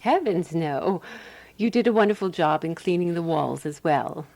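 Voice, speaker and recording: A woman's voice: fairly low pitch, animated, normal speed, gravelly, a little mellow. Composed woman detective in radio drama. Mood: calm, assured. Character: Alice, calm, practical woman amateur detective narrating her case. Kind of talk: radio drama dialogue and first-person narration.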